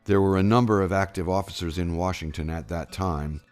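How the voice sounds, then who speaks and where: Male narrator, very low bass voice, scripted historical memoir, measured storytelling, formal period wording, soft guitar backing. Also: fairly even intonation. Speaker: audiobook narrator. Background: plucked guitar music.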